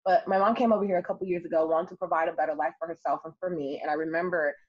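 A young adult woman telling her story as an interview guest, lively and emphatic. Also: lowish voice, very fast. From United States. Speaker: guest, city councilwoman. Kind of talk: conversational interview. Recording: muffled lo-fi audio.

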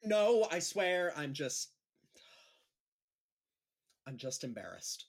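A man playing an awkward, easily embarrassed high school gym teacher in an audio drama, hesitant and over-explaining. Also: very animated, takes it slowly, heavily acted. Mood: flustered, embarrassed. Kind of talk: naturalistic audio drama acting.

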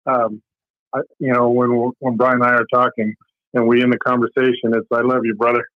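A man's voice: medium pitch, little pitch movement, fairly quick, dry recording. A man calling in as a guest, speaking earnestly. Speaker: call-in guest. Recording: tinny phone line.

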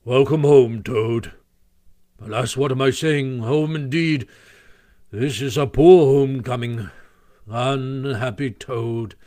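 Guy doing a gruff gloomy storybook badger, very grave and portentous. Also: medium pitch, slow, warm tone, no echo, studio quality, close to an everyday voice. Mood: mournful. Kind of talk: storybook character voice.

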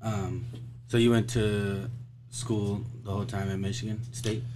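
A man hosting a podcast, asking quick, casual, slangy questions. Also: lowish voice, little pitch movement, background noise. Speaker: podcast host.

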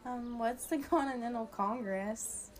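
Woman acting as curious young female friend, excitable, slightly high voice, girlish slang, questioning, scripted amateur radio drama. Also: a little slow, natural voice. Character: excitable young woman, curious about how government works.

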